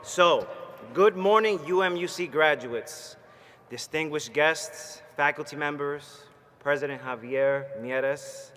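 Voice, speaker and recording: A man's voice: on the high side, slow, a bit bright. A man, a Marine veteran giving a rousing commencement speech from a stage. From Puerto Rico. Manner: fired-up, rousing, plainspoken and personal. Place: large ceremony hall, from a stage. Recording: long hall reverb, faint background noise.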